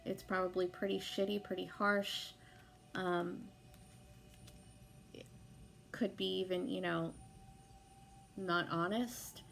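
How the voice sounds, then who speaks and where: A young woman with a hoarse voice from being sick, chatting casually as she gives a tarot reading, with lots of fillers and some swearing. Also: fairly low pitch, fairly even intonation, slow, pauses often, fairly bright tone, a little hiss or hum. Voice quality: hoarse, gravelly. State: sick, losing her voice. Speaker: tarot reader.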